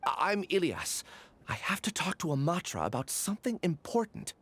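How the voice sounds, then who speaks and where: A man voicing a shaken, frightened young male researcher in a video game, talking urgently and haltingly, over game music. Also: sits high, wildly swooping pitch, husky, full character voice. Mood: desperate. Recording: game audio under music.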